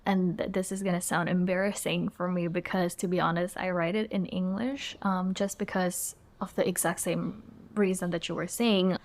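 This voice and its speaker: A woman's voice: lowish voice, steady tone, moderate pace, hardly any pauses. Young Indonesian woman, reflective guest. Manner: thoughtful, frequent fillers and restarts. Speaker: podcast guest. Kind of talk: reflective conversational interview.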